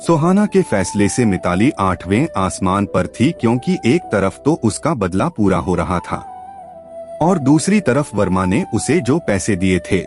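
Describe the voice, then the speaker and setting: A man narrates a scripted story in a fairly low voice. His delivery is dramatic and very lively in pitch, swinging up and down as he reads. Soft music plays behind him. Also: unhurried. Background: background music.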